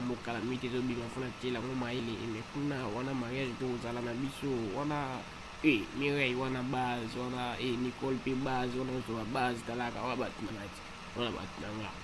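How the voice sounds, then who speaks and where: A man's voice: mid-range voice, flat delivery, brisk, close to an everyday voice. A man voicing a chatty cartoon character who rambles and repeats himself, mixing in French, on a noisy amateur recording. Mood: rambling, reassuring. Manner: rambling, repetitive, mixes French into speech. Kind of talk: improvised-sounding dialogue in an amateur cartoon.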